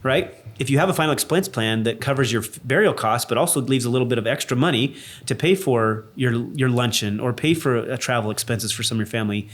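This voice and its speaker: A man talks quickly and eagerly while co-hosting an insurance chat, moving the conversation along from topic to topic. His voice sits in a mid pitch and keeps a brisk pace. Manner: quick, enthusiastic, steers the topics. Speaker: insurance broker co-host.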